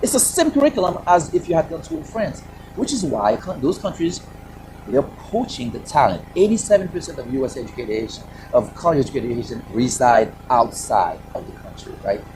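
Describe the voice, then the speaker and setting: Man, Haitian accent, rapid emphatic speech, rhetorical questions, background noise on a video call. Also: mid-range voice, very animated. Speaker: panelist, Air Force operations director. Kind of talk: virtual panel discussion.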